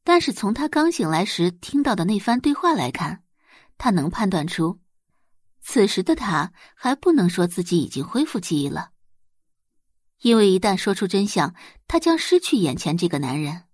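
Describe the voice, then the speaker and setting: A woman's voice: medium pitch, sing-song, moderate pace, husky, dry recording, clean studio sound. A woman giving dramatic scripted narration of romance fiction as an audiobook narrator.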